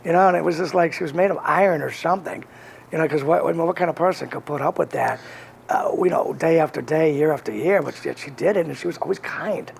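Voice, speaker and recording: A man with a slight Boston accent and a fairly high, gravelly voice. He is an interview guest who tells his story earnestly and rambles, often restarting his sentences. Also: quick pace, a little hiss or hum. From Connecticut. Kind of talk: casual conversational interview.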